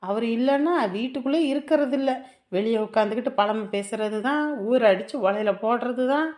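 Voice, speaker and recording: A woman's voice: high-pitched, a little fast, natural voice. A woman playing a put-upon, sarcastic young mother in a family drama, grumbling in an echoing room. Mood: resentful muttering. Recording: roomy echo on the voice.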